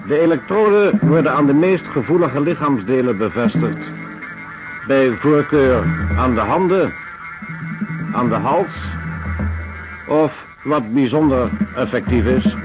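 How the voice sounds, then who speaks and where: A man's voice: mid-range voice, natural voice. A man playing a detached lecturer in a radio drama, reading in a precise, impersonal, matter-of-fact way. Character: detached clinical lecturer on weapons and torture methods. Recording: dull radio recording with music behind.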